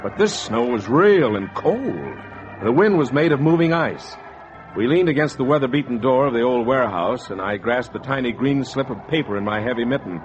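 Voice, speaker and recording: A man acting a young ex-soldier in an old radio thriller, wry and polite. His medium-pitched voice swings expressively. The sound is dull vintage radio with faint music. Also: animated, moderate pace, natural voice. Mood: wry, dramatic. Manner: wry, hardboiled storytelling.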